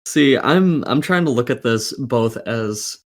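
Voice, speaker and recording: A man's voice: mid-range voice, animated, a little slow. A man co-hosting a casual gaming podcast, explaining his opinions at length and swearing casually. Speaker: podcast co-host.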